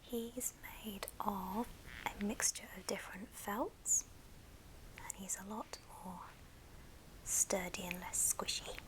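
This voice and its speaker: A woman whispering softly and breathily in a gentle, affectionate ASMR show and tell. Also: medium pitch, takes it slowly, poor audio. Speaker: ASMR video host.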